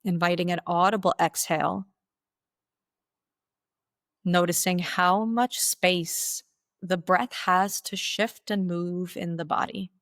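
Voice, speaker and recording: A woman with a slightly low voice guides a meditation. She gives instructions slowly and deliberately, leaving pauses between phrases. The sound is dry, with very little room in it. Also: steady tone, fairly bright tone, dry recording.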